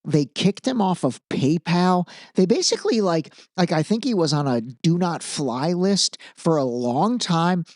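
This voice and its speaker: A man's voice: on the high side, lively intonation, measured pace, few pauses, darkish tone, no room sound, studio quality. A man hosting a podcast, giving casual, opinionated commentary in an informal, emphatic way, repeating phrases for emphasis. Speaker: podcast host.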